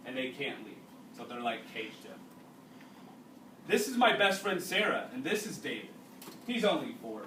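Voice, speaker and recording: A man's voice: fairly high pitch, a bit bright. A man reading a story aloud as a teacher, in an explanatory way aimed at students he questions along the way. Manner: explanatory, pausing to question young listeners. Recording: roomy, distant mic with faint noise.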